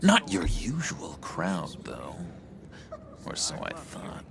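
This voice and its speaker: Slow, creaky, needy oddball. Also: male voice, extremely low pitch, wildly swooping pitch, a crowd in the background, exaggerated voice. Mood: pleading, uneasy. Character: needy, unsettling, awkward man fawning over his friend. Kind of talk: video game voice acting.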